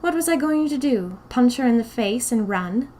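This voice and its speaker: A woman narrating scripted first-person fiction in a deliberate, dramatic manner. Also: fairly high pitch, expressive, a little mellow.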